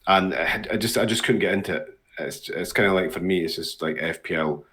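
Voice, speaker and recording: A man's voice: low-pitched, a little fast, a bit bright. A man from Dundee with a Scottish accent, chatting casually as an interview guest, often repeating words hesitantly. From Dundee, Scotland. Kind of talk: casual chat.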